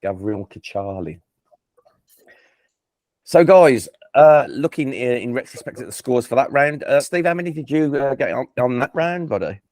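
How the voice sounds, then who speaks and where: A man's voice: mid-range voice, expressive, normal speed, darkish tone, dry recording. A man with a Birmingham accent hosting a casual football quiz, chatty and self-deprecating, often stumbling and restarting his sentences. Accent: Birmingham English. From England. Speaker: quiz host.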